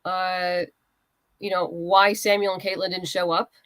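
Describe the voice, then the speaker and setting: A woman's voice: on the low side, little pitch movement, a little slow, a bit bright, no echo. A woman speaking casually as a guest writer in an interview, explaining her creative process and acting out little asides.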